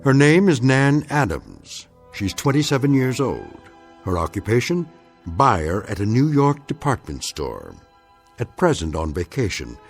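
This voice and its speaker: Radio drama narrator: knowing teller of eerie tales, low-leaning, dynamic delivery, measured and ominous. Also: male voice.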